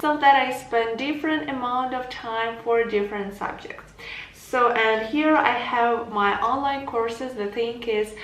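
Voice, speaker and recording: A woman's voice: a little high, fairly bright tone, reverberant, low-quality recording. A teenage girl with a Ukrainian accent, a native Ukrainian speaker, giving earnest self-improvement advice in a vlog, with slightly non-native phrasing. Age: teens. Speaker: vlogger.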